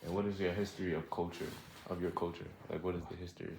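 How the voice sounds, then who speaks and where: Deep, flat-voiced young interviewer. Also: male voice, fast, reverberant, slight background noise, rough recording. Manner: hesitant, filler-heavy questions. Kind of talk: casual interview questions.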